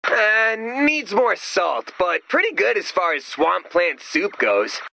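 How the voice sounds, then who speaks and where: A goofy video game sidekick with a high, creaky, raspy voice. He is flippant and theatrical, tossing out jokes in a bright, forceful tone. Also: big pitch swings, slow, no room sound, full character voice, poor audio. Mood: playful. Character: goofy, excitable, deadpan-joking male sidekick.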